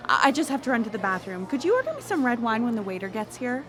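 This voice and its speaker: Actress as jaded female dater, highish lively pitch, curt, sarcastic, radio drama. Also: fast talker, noisy background. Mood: cool politeness. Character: cool, jaded woman on a date, secret temptress.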